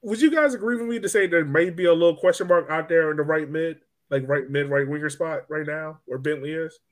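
A man with a slightly high voice co-hosts a casual sports podcast. He talks emphatically through his analysis, repeating phrases to drive the point home. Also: brisk. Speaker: podcast co-host.

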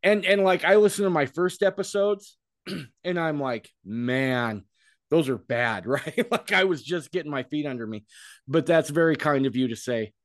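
Male host, fairly high voice, dry close sound, rambling, self-deprecating. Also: moderate pace, no echo. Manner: rambling, self-deprecating, repeats words while thinking. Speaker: podcast host. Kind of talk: casual interview chat.

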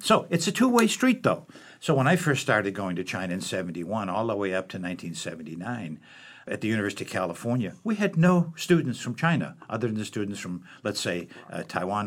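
An older man, a professor and book editor, speaking in a relaxed interview with many fillers and restarts. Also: low voice, brisk. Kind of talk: conversational interview.

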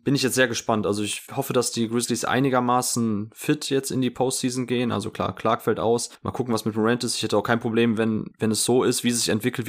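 A man giving casual sports analysis as a podcast guest, wordy and informal, with plenty of English jargon. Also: on the low side.

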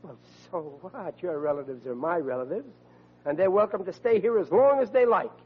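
A high, wildly swooping male voice plays a blustering, gullible family man. He is a grouchy sitcom dad on vintage radio. The recording is muffled and noisy. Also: expressive, normal speed, breathy edge. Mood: wary. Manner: blustering, swinging from outrage to easy mollification. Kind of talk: old-time radio sitcom acting.

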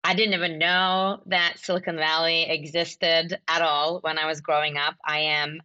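Low, bright-voiced woman from Turkey. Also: level tone, normal speed, clear, resonant tone, muffled recording. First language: Turkish. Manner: thoughtful, with frequent fillers and restarts. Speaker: podcast guest. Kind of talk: conversational interview answers.

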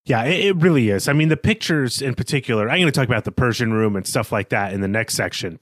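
A man co-hosting a literary podcast, talking through his analysis in a measured, conversational way. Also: mid-range voice, no echo, studio quality. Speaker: podcast co-host.

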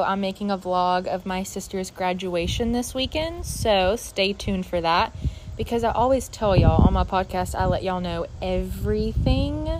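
A twenty-one-year-old woman talks openly and casually to her listeners, leaning on "like" and "uh" as she goes. She sits outside in her backyard, with faint background noise around her voice. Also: mid-range voice. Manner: chatty and candid, many fillers like "like" and "uh". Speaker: podcast host. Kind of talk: casual solo podcast chat.

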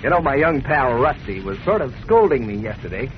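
A man's voice: medium pitch, sing-song, brisk. A man delivering a 1940s radio advertisement in a chummy, folksy, enthusiastic way. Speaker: sponsor pitchman. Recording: muffled old radio recording, faint noise.

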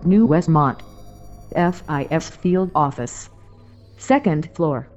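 Sci-fi drama: lowish female automated announcer, impersonal, deliberate pacing, dull sound. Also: lively intonation, music in the background. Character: impassive female automated directory and announcement system. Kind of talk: audio drama computer announcement. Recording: dull, muffled playback.

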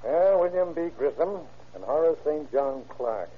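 An actor playing a plain-talking, easygoing police officer with a husky voice in an old-time radio drama. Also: male voice, a little high, expressive, unhurried. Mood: matter-of-fact. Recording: tinny, narrow vintage radio recording.

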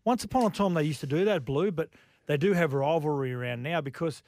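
A man's voice: fairly high pitch, a little fast, a little mellow, dry recording, clean studio sound. An Australian man co-hosting a casual sports show, reading out viewer comments and chatting easily.

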